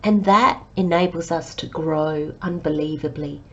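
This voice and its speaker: A woman giving a tarot reading to her listeners, talking in a slow, reflective and gently encouraging way while she has a cold. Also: low voice, dull audio. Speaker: tarot reader.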